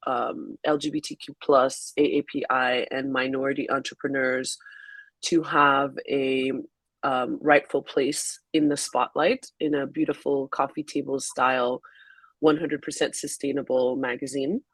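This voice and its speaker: A Haitian-born woman whose first language is French speaks slowly and softly, with many pauses and ums. She is a magazine founder being interviewed in a webinar. The video-call sound is dry, with no room echo. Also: very deep voice, level tone. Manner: soft-spoken, unhurried, with frequent ums.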